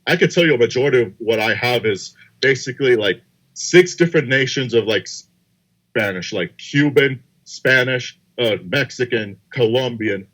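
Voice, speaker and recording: A young man co-hosting a casual podcast, speaking hesitantly with many pauses and restarts. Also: mid-range voice, fairly bright tone, rough recording. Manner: hesitant, full of fillers and restarts. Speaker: podcast co-host.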